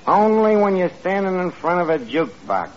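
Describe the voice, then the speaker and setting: An actor playing a self-important, ungrammatical New York tavern manager in a 1940s radio comedy. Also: male voice, sits high, very animated, brisk. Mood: sarcastic. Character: gruff, wisecracking, uneducated tavern manager fancying himself a composer. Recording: muffled old radio broadcast, noisy, studio audience.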